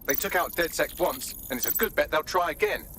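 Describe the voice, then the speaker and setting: An actor playing an earnest, determined resistance hacker ally in a video game. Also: male voice, on the high side, expressive, brisk, a bit bright, slight background noise. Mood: earnest, cautioning.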